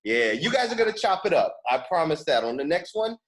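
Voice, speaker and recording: A man's voice: a little high, big pitch swings, a little fast. A man hosting a casual podcast interview, effusive and slangy in the way he talks. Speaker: podcast host.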